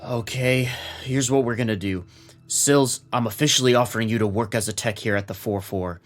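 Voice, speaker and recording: An actor playing a gruff, commanding police captain, curt and sardonic, in a noir audio drama. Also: male voice, mid-range voice, moderate pace, faint music behind, lightly acted. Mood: stern, commanding. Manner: curt, authoritative.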